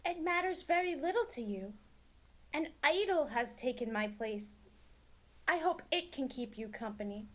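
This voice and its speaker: Casting: actress as a wounded young fiancée, extremely high voice, gentle audio-play delivery. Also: female voice, expressive, normal speed. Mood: hurt, resigned. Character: hurt young fiancée, gently breaking off her engagement. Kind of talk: radio drama acting.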